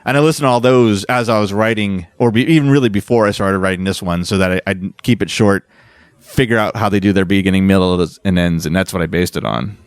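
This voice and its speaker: A man's voice: deep voice, quick pace, clear, resonant tone, no room sound. A man co-hosting a podcast, talking casually and informally. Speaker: podcast co-host. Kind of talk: casual chat.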